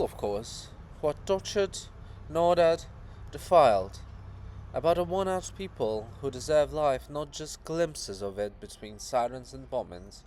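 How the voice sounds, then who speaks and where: A proud princess of stone, acted by a man in a play reading, full of anguish. The delivery is declamatory and mournful, drawn out at a slow pace. Faint background noise runs underneath. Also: mid-range voice, a little mellow, lightly acted. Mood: impassioned grief.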